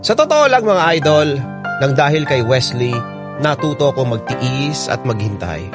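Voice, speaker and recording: A male radio host reads a listener's letter as a sentimental story. His voice sits at a medium pitch, and guitar music plays clearly underneath. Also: very animated, moderate pace.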